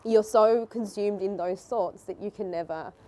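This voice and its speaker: A woman's voice: medium pitch, moderate pace, a little mellow, slight background noise. A young woman from Bangladesh with an Australian accent, speaking reflectively in a documentary interview and laughing easily.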